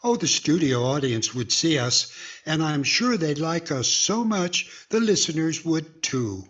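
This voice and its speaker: A man's voice: a little high, sing-song, unhurried, a bit bright, husky, lo-fi sound, full character voice. A man playing an eager, earnest ventriloquist auditioning in a 1940s-style radio comedy. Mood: hopeful.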